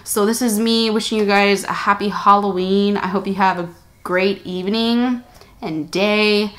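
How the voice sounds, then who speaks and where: A woman's voice: mid-range voice, steady tone, a little slow. A young American woman in her twenties telling a story to camera in a small room, chatty and rambling, often correcting herself. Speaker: vlogger. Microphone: camera-mounted shotgun mic.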